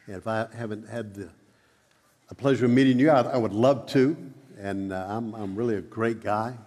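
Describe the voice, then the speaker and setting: A man's voice: fairly low pitch, normal speed, a little mellow. A man who stutters, giving church announcements and leading a prayer with many repeats and fillers. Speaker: preacher. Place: church sanctuary. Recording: long hall reverb.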